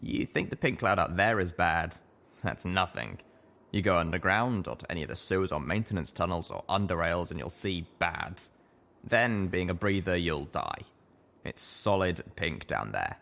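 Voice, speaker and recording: A man's voice: deep voice, animated, moderate pace, gravelly, fairly bright tone, exaggerated voice. A man voicing a jaded, sardonic century-old ghoul pony in a colt's body, rambling wearily in a storybook reading. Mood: grim.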